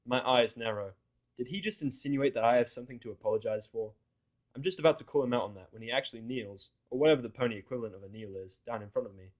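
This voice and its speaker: A man's voice: mid-range voice, fast. A man reading a first-person story as scripted narration, in a blunt, sweary manner. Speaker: audiodrama narrator of fan fiction.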